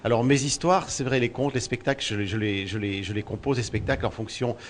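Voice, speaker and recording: A man's voice: medium pitch, brisk, slight background noise. A man born in Belgium who works as a storyteller, answering interview questions in a thoughtful way and often repeating words while he looks for the next one. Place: outdoors at a festival.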